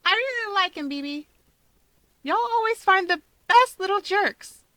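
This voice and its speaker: Woman voicing a high-pitched cheeky mouse girl, grown-up. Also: lively intonation, measured pace, sharp tone, dry recording, heavily acted. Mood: playful teasing. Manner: playful, wordy, teasing.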